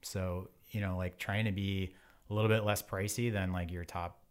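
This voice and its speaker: A man's voice: deep voice, level tone, moderate pace, clean tone. A man in his forties talking casually as an interview guest, in an informal, rambling way. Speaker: podcast guest, bike wheel company owner.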